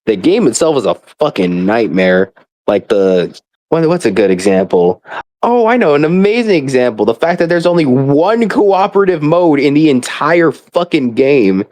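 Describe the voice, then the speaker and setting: A man's voice: on the high side, sing-song, moderate pace, darkish tone. A young man rambling casually in an exasperated, emphatic way, swearing often as he complains like a gamer venting. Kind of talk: casual rambling rant about a video game.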